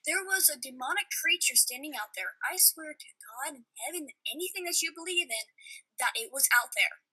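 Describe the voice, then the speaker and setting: A woman with a high voice and a very sharp, bright tone. She talks as a podcast participant, telling her own story casually but with earnest emphasis. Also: lively intonation, fairly quick, poor audio.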